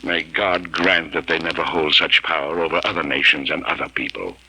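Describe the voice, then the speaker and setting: An actor playing a principled gentleman diplomat in an old radio drama, earnest, firm and dignified. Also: very deep voice, a little fast, background noise. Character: principled diplomat turned animal defender, man. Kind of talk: 1940s radio acting.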